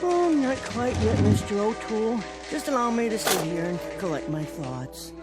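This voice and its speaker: A man's voice: high voice, expressive, moderate pace, loud music behind the voice. A stammering, timid salesman. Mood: flustered. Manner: stammering, hesitant, polite. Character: meek, bumbling male vacuum cleaner salesman. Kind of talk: comic radio drama acting.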